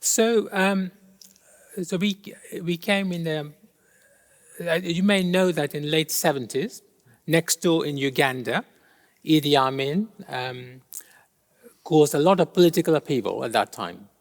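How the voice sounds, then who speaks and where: A middle-aged man from Kenya whose first language is Gujarati, answering interview questions thoughtfully with many pauses. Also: on the high side. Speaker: interview guest. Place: large church. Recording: long reverb of a large church hall.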